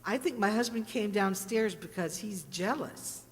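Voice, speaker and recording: A woman's voice: medium pitch, animated, measured pace, natural voice. A woman playing a breezy, wisecracking wife in a comic radio play, with a playful, teasing manner. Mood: smug, pleased. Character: breezy, wisecracking wife posing for her portrait. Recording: reverberant hall stage recording.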